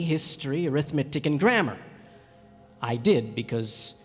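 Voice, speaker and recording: Man acting a stranded pilot in an echoey hall. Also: on the high side. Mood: wistful. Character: stranded airplane pilot recounting his desert encounter, thoughtful man. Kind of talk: radio drama narration. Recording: echoey hall, music behind.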